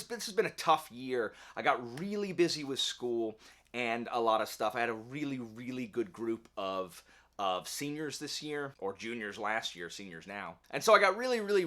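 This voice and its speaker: A man with a bright, forward voice at an ordinary male pitch talks casually as the host of an online channel. He rambles in a wry, self-deprecating way, as if chatting straight to his viewers. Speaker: YouTube book channel host. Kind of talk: casual channel update to camera. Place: indoor home office.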